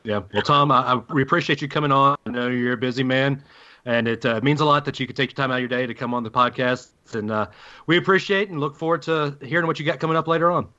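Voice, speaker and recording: A man hosts a casual sports podcast in a bright, forceful voice. His pitch sits in the middle range, and the recording is a little dull and lo-fi. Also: quick pace. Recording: dull lo-fi audio.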